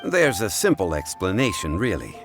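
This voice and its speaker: A man acting a calm, courteous, learned gentleman in a video game, speaking formally and with composure, over music. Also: deep voice, moderate pace, heavily acted. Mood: calm, reasoned. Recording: background music under the voice.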